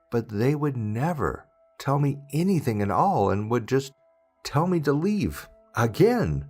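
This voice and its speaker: A man reading a scary story as scripted narration, in a dramatic storytelling manner with deliberate pauses. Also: mid-range voice, darkish tone. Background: background music.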